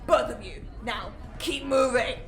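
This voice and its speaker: Female, stern audio-drama prison guard: irritated, commanding, very high pitch with a creaky edge. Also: measured pace, a bit bright, reverberant. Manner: curt, commanding.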